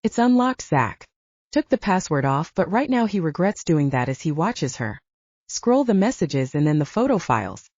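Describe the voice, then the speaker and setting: A woman's voice: on the low side, sing-song, normal speed, a little mellow, dry recording. A woman reading scripted fiction as an audiobook narrator, in a dramatic storytelling style. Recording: dull lo-fi audio, dry.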